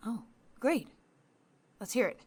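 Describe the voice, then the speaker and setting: A woman's voice: fairly high pitch, big pitch swings, slow, slightly rough, full character voice. A woman playing a stubborn, proud captain in an audio drama, terse and insistent. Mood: curious. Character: stubborn, proud female captain recovering from surgery.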